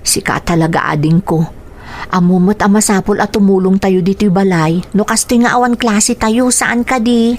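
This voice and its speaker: A woman's voice: mid-range voice, moderate pace, lightly acted. A woman playing a dutiful, sorrowful young daughter of a poor farm family, plaintive and earnest, in an Ilocano radio drama. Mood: gently reluctant. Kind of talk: Ilocano radio drama acting with inner monologue.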